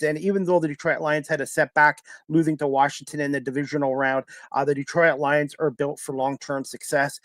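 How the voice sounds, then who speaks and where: A man's voice: a little high, no echo. A man hosting a sports talk show in a casual announcing style.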